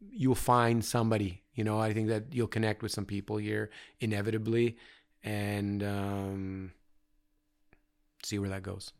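Low-voiced man musing in conversation. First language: not Czech; grew up in North America. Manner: thoughtful, rambling with fillers. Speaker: artist guest. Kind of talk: conversational interview. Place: artist studio.

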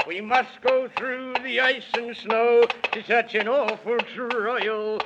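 A man playing an excitable, fretful storybook companion in a rhyming 1930s children's radio serial, theatrical and exclamatory. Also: extremely high pitch, takes it slowly, heavily acted. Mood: singsong, determined. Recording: noisy, muffled old 1930s radio recording.

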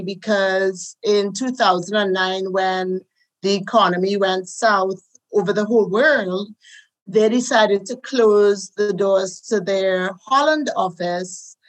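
A woman with a Trinidadian accent, a guest recounting her life in a relaxed interview, with soft laughs as she talks. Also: mid-range voice, poor audio. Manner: warm storytelling with soft laughs. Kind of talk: conversational interview.

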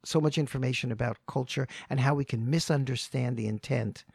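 A man's voice: mid-range voice, fairly quick, creaky, a little mellow, breathy edge, dry recording, studio quality. A man co-hosting a podcast, telling stories in a thoughtful, casual way with frequent restarts. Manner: storytelling, thoughtful, many restarts. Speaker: podcast co-host.

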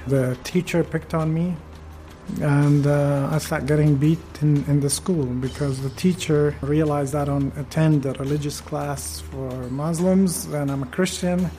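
A middle-aged man from Iraq telling his family's story of persecution in an earnest, halting way. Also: a little high, fairly even intonation, dark tone. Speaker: interviewee. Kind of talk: personal testimony.

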